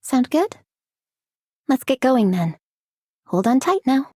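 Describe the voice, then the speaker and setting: A busy, friendly game courier. Her voice is fairly high yet soft-edged and husky, with a brisk manner. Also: sing-song, dry recording, clean studio sound, full character voice. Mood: friendly, upbeat. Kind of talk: game character voice line.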